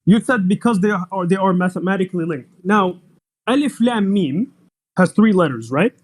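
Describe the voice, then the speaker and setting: A man's voice: high-pitched, animated, moderate pace. A young man whose first language is Arabic, arguing insistently in an online debate and pressing the same demand over and over. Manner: insistent, repeats demands, talks over others.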